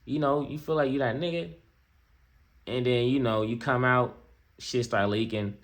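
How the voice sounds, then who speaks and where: An American man with a mid-pitched voice talks casually as he reacts to a video. His delivery is slangy and unscripted, circling back over phrases and checking in with the listener as he goes. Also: steady tone, moderate pace. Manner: slangy, repetitive, conversational asides to viewer. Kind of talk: casual off-the-cuff reaction commentary.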